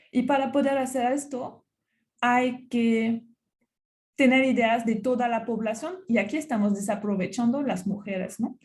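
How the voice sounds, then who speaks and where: A woman's voice: fairly high pitch, dark tone. French-accented woman giving a talk. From France. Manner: hesitant, many fillers and restarts. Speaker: university researcher giving a talk. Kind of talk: conference talk.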